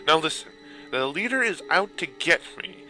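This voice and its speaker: A man's voice: a little high, expressive, normal speed, bright, forceful tone, faint music behind, rough recording. A man voicing an irritable, cowardly, scheming quest-giver in a comic fantasy game dub. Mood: panicky, irritated.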